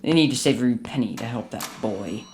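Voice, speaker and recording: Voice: mid-range voice, expressive, fast talker, darkish tone, slight background noise, close to an everyday voice. An actor playing a bitter, miserly old businessman who grumbles and scolds curtly in a radio drama. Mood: grumbling, impatient.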